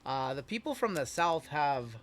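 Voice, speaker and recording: A man's voice: fairly high pitch, big pitch swings, moderate pace. A man hosting a live card break, chatting casually and reading the chat aloud with a joking manner. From Iowa. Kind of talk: casual live-stream chat while opening cards.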